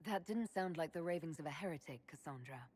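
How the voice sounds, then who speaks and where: A woman's voice: sits low, expressive, fast, darkish tone, husky. An actress voicing a video game's player character, a woman who speaks in a dry, wry and modest way. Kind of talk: video game dialogue.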